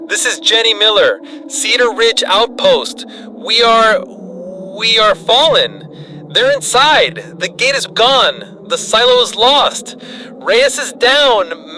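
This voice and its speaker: Man voicing a desperate, defiant woman survivor, pitched high. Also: sharp tone, a little hiss or hum, full character voice, low-quality recording. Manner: urgent, emphatic, short clipped declarations. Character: defiant, desperate woman survivor making a final radio broadcast.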